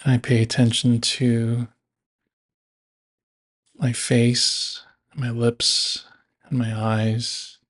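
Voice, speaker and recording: A man leads a guided body scan meditation at a very slow pace. His voice sits at a middle pitch and stays flat and even. He leaves long pauses between short, measured phrases.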